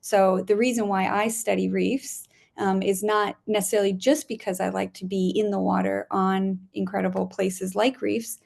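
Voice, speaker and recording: A woman from Spain talks as a guest scientist over a video call. Her voice has a gravelly, fry-laden edge, and she chats loosely, full of ums and restarts, laughing often. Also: medium pitch, a little mellow.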